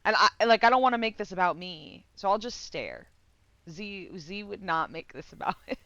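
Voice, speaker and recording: A woman giving casual live game commentary, excitable and giggly, swearing and meandering as she thinks aloud. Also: mid-range voice, moderate pace, dry recording, dull audio. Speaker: game streamer.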